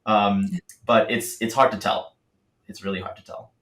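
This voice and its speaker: Male co-founder, lower voice, lively casual talker, reverberant room. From Singapore. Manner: animated, quick, many fillers. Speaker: startup co-founder. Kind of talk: casual podcast chat.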